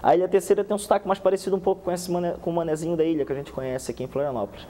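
Fast-talking young man in a TV studio. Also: a little high, big-room sound, a little hiss or hum. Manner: fast, animated explaining with many restarts and hand gestures. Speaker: guest researcher. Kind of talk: TV studio interview. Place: TV studio set. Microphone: lapel.

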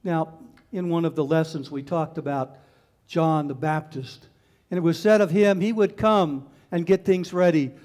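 An older man preaching a sermon as pastor in a large church sanctuary, in a measured way with repeated phrases, on a headset mic. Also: fairly high pitch, a little mellow.

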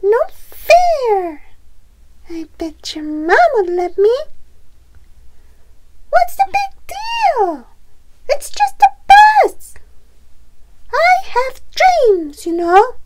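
A woman voicing a pushy, excitable little pigeon in a picture book, wheedling in a childlike, theatrical way. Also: very high-pitched, extremely slow, long pauses, pitched above the performer's own voice, exaggerated voice. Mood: pleading, sulky. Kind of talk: picture book read-aloud character.

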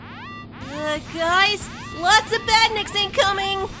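Woman voicing an earnest, very high-pitched boy fox. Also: slow pace, over music. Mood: alarmed. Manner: earnest, explanatory. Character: earnest, brainy little boy fox sidekick. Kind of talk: comic dub voice acting.

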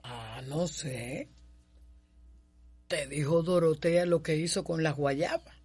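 A folksy Cuban country mother in a radio drama. She has a husky voice and a slow, sly drawl, as if she knows more than she will say. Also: sits low, a little mellow, exaggerated voice. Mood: knowing, teasing. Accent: rural Cuban. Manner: drawling, knowing, proverb-laden. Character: wise, sly, folksy mother of a mulatto man.

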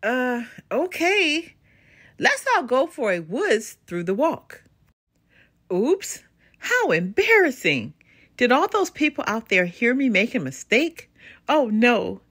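A woman's voice: fairly high pitch, big pitch swings, slow pace, fairly bright tone, husky, exaggerated voice. A woman voicing a flustered, self-conscious male papa bear with an anxious, stammering manner in a children's storybook. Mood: embarrassed. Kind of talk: children's storybook read-aloud.

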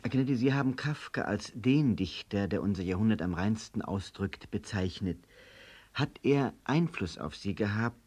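A man's voice: fairly low pitch, expressive, moderate pace, a little mellow, breathy edge. A man asking polite, formal interview questions on an old 1960s broadcast recording.

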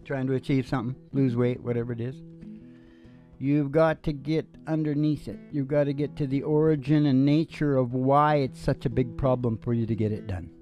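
An older man, a music podcast host, chatting casually in a folksy, animated way into a stand microphone. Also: medium pitch, normal speed, dull audio. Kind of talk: casual rambling chat. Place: small dim curtained stage or room. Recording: live performance with acoustic guitar. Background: acoustic guitar.

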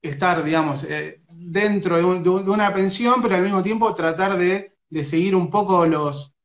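A man's voice: sits high, moderate pace. A man with an Argentine Rioplatense accent, interviewing a young footballer over a phone live stream, talking in hesitant stops and restarts. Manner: hesitant, many fillers and restarts. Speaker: sports radio interviewer. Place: indoors, small room. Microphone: phone front camera. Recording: roomy, muffled phone audio.

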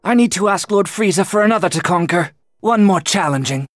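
A woman's voice: mid-range voice, lively intonation, fairly quick, no room sound, close to an everyday voice. A cold young warrior prince from a video game, acted by a woman, arrogant and curt. Orders come out clipped and commanding, in a dry recording. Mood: bored, dismissive. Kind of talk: animated video game voice acting.

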